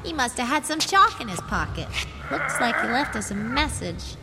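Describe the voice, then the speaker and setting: A woman's voice: fairly high pitch, big pitch swings, moderate pace, gravelly, heavy background noise. A woman playing a plucky, inquisitive young amateur sleuth with a wry, eager delivery, in old-time radio mystery comedy style. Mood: wry, curious.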